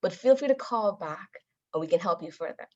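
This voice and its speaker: A woman speaks with a somewhat low voice in a motivational talk. Her delivery is deliberate and emphatic, like a trained public speaker giving instruction. The audio is slightly dull and lo-fi. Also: expressive, darkish tone. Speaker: guest speaker, Toastmasters member.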